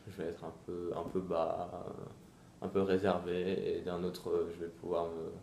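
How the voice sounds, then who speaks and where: A nineteen-year-old man from France introducing himself, hesitant and thoughtful, with many pauses and fillers. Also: deep voice, monotone, creaky, darkish tone, reverberant, slight background noise. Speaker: interviewee. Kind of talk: casual self-introduction.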